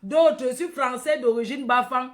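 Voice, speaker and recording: A woman's voice: high-pitched, moderate pace. A woman from Cameroon with a Cameroonian accent hosting a casual livestream, addressing followers by name, repeating phrases and tagging on questions. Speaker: livestream host.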